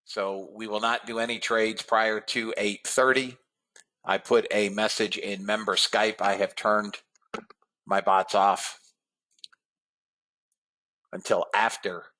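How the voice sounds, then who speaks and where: Man, trading room host, casual webinar talk, slightly hoarse, low pitch, bright forceful tone. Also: flat delivery, slow pace, long pauses, poor audio. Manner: casual, addresses viewers as guys and fellas.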